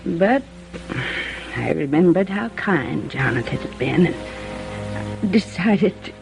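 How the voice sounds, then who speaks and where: Dying elderly aunt, old radio play, slow, halting, expressive pitch, gently scolding. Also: female voice, creaky, full character voice, low-quality recording. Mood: weak, sorrowful. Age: older. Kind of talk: 1950s radio drama acting. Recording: old radio recording with music behind.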